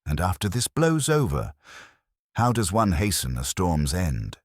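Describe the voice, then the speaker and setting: A man's voice: low-pitched, huge pitch swings, measured pace, dry recording, studio quality, full character voice. A man voicing a pompous, imperious older master detective who speaks in formal, ornate, commanding phrases. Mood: pondering, dry.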